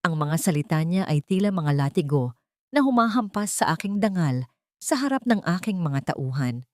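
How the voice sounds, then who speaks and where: Mellow, low-voiced woman narrating dramatic fiction. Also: very animated, normal speed, dry recording. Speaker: story narrator. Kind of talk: dramatic scripted first-person audio story narration.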